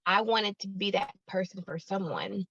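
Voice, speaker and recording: A woman, a podcast guest who talks softly and hesitantly with many pauses. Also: medium pitch, sing-song, muffled recording, a little hiss or hum. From Alabama, United States. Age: 30s. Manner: soft-spoken, hesitant with many ums, laughs easily. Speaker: guest, YouTuber. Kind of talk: casual podcast chat.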